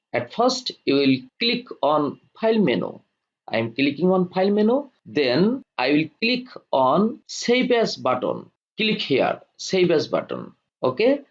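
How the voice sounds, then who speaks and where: A man narrating a step-by-step software tutorial in short, deliberate instructional phrases. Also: medium pitch. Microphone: voice-over for screen recording. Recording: dull lo-fi voice-over.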